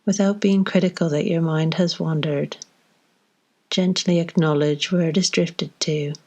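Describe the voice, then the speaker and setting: Low-voiced woman guiding a slow meditation. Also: steady tone. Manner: slow, gentle instruction with long pauses. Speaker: meditation guide. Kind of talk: guided body scan meditation.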